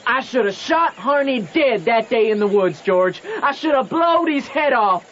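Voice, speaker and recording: A man's voice: very high-pitched, lively intonation, unhurried, fairly bright tone, full character voice. A man playing a hot-headed feuding boy with a Southern accent, fierce and defiant, in an old radio drama. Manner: fierce, defiant country talk. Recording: muffled old radio, faint noise.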